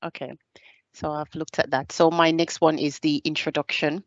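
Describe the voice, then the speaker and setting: A Jamaican woman in her thirties, a soldier giving a hesitant presentation over a video call, pausing often on fillers. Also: low-pitched, level tone, no echo, dull audio. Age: 30s. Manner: hesitant, many filler pauses. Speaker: serving army staff sergeant giving a talk.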